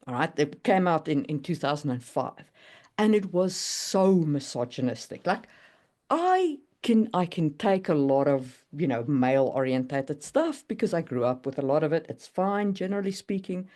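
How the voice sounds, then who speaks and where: A woman chatting casually and opinionatedly, in an animated way, as on a writing podcast. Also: low voice, normal speed. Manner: animated, opinionated, laughs readily. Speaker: podcast guest discussing writing. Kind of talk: casual chat.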